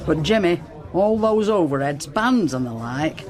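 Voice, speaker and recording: A woman's voice: fairly low pitch, lively intonation, a little slow, a crowd in the background. An actress playing a blunt, sardonic middle-aged club landlady in a radio drama. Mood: wry, gossipy. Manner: blunt, wry, colloquial. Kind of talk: naturalistic radio drama acting.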